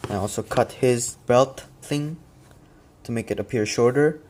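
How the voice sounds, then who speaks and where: A man's voice: medium pitch, a little slow, a little mellow, slight background noise. A man casually explaining his custom figure work step by step, with occasional fillers. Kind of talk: casual product walkthrough.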